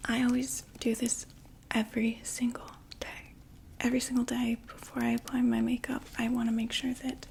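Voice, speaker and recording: A woman voicing a chatty undead vampire makeup saleswoman in a soft, gently persuasive ASMR whisper. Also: a little high, vocal fry. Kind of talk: ASMR roleplay.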